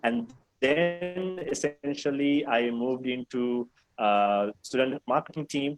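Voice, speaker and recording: A man from Sri Lanka, a university staff member, speaking slowly on a video call with many pauses. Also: medium pitch, no room sound, poor audio. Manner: slow, halting, many pauses.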